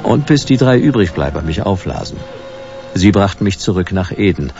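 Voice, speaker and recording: An actor playing a wry, world-weary hard-boiled private detective in a German radio play, laconic and dry. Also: male voice, low voice, animated, normal speed, muffled recording, over music. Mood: laconic narrating. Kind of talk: German radio play noir acting and first-person narration.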